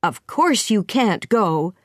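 A woman voicing a stern but caring female guardian in a storybook, speaking in a firm, measured manner. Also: medium pitch, sing-song, dry recording, studio quality. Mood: angry. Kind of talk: storybook character voice.

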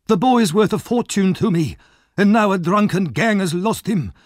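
A man voicing a greedy, sly old male fence in an audiobook, wheedling one moment and shrill with rage the next. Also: takes it slowly, darkish tone, dry recording, studio quality. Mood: threatening. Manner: wheedling, shrill when angry.

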